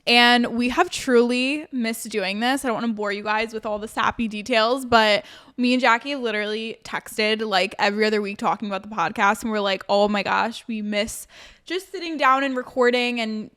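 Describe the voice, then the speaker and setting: A woman with a fairly high, smooth, clear voice co-hosts a podcast in casual chat. She talks earnestly in long, flowing sentences. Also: normal speed, fairly bright tone. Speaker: podcast co-host.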